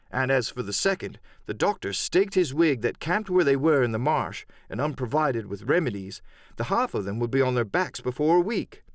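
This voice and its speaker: A man reads an adventure novel as a dramatic audiobook. His mid-pitched voice moves with plenty of expression while staying measured and story-like. The recording is dry and close. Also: no room sound. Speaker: audiobook narrator.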